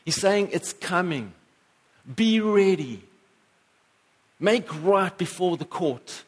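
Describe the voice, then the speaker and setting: A man's voice: lively intonation, takes it slowly. A pastor preaching a sermon in church, earnest, lifting and dropping his voice for emphasis. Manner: earnest, rises and falls in pitch for emphasis.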